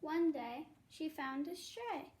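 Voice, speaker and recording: A young girl reads a storybook aloud in a high voice. She goes slowly and carefully, sometimes halting over the words. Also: expressive, darkish tone, a little hiss or hum. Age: child. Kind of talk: children's storybook read-aloud.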